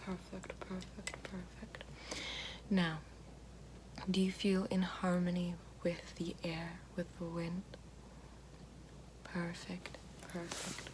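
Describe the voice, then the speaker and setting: A calm witch voiced by a woman whispers close to the mic in a low, flat, unhurried hush, handing out gentle praise again and again. A steady hiss sits clearly behind her voice. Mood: soothing. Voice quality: whispered, soft. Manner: slow soothing, repeats praise. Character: calm gentle female witch guiding elements. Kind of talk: ASMR roleplay. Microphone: close binaural-style mic.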